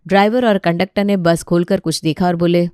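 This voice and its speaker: A woman's voice: on the low side, steady tone, fairly quick, mellow, clean tone, no echo, studio quality. A woman reading scripted narration of a comic family story as its narrator.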